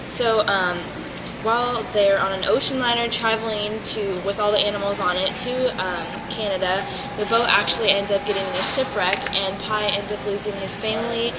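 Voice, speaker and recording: A woman at mid pitch answers interview questions in a halting way, full of fillers. A loud steady noise fills the background of the homemade recording. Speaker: guest playing an author in a mock interview. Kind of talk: school mock interview. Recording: loud noisy amateur recording.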